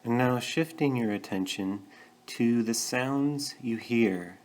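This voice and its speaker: A man leading a guided meditation, speaking gently and in a measured way with pauses between short phrases. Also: mid-range voice. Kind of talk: guided meditation, calm instruction.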